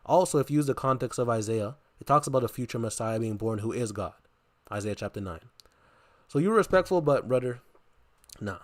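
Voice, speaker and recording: A man's voice: medium pitch, animated, brisk. Insistent man on a debate stream. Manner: insistent, repeats his question, cuts in. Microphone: headphones plugged in, computer mic.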